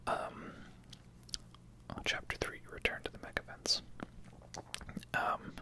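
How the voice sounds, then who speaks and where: A person whispering breathy, casual, rambling commentary over a video game in an ASMR style. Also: takes it slowly, sharp tone.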